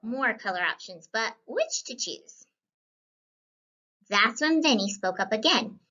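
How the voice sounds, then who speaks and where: A woman plays a young student painter telling a storybook adventure. The voice is fairly high and very lively, with a bright edge. The delivery is wry and full of playful asides, on a slightly lo-fi recording. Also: slow pace, heavily acted. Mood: curious, surprised. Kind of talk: scripted children's read-aloud.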